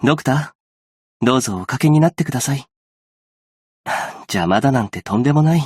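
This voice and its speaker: Young male game character, mid-pitched husky voice, soft-spoken, courteous, patient, earnest, measured formal phrasing. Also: lively intonation, no echo, clean studio sound. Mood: wistful, calm. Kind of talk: scripted game character voice lines.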